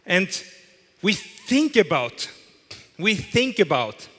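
Bright-voiced man giving a stage keynote. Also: sits high, sing-song, takes it slowly, slightly rough. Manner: emphatic, repeats phrases for effect. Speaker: keynote presenter. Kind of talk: corporate keynote speech. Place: large event hall with audience. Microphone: headset mic. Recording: long hall reverb.

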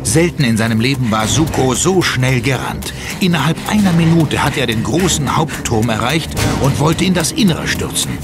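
A man's voice: medium pitch, expressive, moderate pace, close to an everyday voice. A man narrating a horror audio drama as a grave, suspenseful storyteller. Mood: suspenseful, urgent. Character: ominous male storyteller of a horror tale. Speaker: third-person narrator. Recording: loud dramatic music behind.

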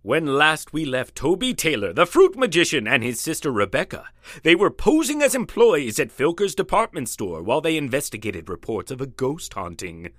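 An actor playing an excitable, melodramatic narrator of an old-time radio mystery serial, theatrical and suspenseful. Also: male voice, a little high, moderate pace, dry recording, studio quality. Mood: dramatic suspense. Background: music.

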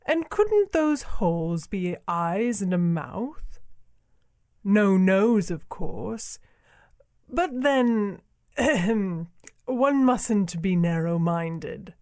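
A man voicing a genteel, self-satisfied female elephant in a storybook, speaking with measured, politely condescending thoughtfulness. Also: high-pitched, lively intonation, a little mellow, no room sound, clean studio sound, pitched above the performer's own voice, heavily acted. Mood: genteel, mild. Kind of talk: storybook character voice.

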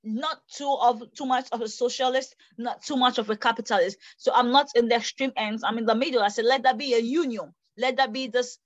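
A young woman from Ghana makes her case to an online panel from a small bedroom. Her voice sits fairly high, and she talks with animated gestures, often restating herself mid-thought. The tablet audio is dry and a little muffled. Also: brisk, hardly any pauses, no room sound. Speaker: host of an online panel discussion. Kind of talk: earnest debate remarks. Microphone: tablet camera on a video call.

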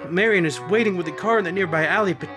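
A man's voice: sits high, quick pace, music in the background, close to an everyday voice. A man playing a sinister, taunting narrator of an old-time radio mystery, addressing the listener in an ominous, needling way. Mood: taunting, tense. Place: indoors at home, video call setup.

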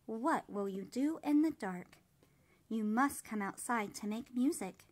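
A stern mother cricket from a children's storybook, voiced by a woman reading aloud. She scolds insistently in a raised pitch, taking her time over the words. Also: lively intonation, a little slow. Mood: questioning, firm.

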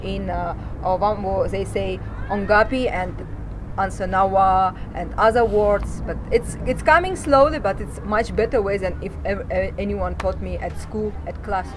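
A woman, speaking earnestly in halting English in an outdoor interview. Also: mid-range voice, noisy background. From Kazakhstan. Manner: earnest, halting non-native phrasing. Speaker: volunteer teacher. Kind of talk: documentary interview. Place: outdoors at tables. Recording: music bed under the voice.

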